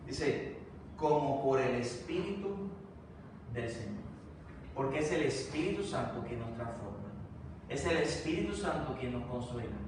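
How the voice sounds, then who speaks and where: A man with a Puerto Rican accent preaching a sermon to his congregation, speaking to them directly in a repeating, building cadence. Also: fairly high pitch. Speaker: pastor. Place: church sanctuary. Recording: very echoey church, distant mic, faint noise.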